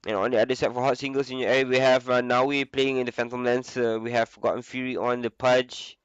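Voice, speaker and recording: A man's voice: medium pitch, level tone, smooth, no echo, muffled recording. Sick young man casting a game. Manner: casual, easygoing, with filler pauses. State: sick with flu. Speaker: esports caster. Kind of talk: solo game commentary.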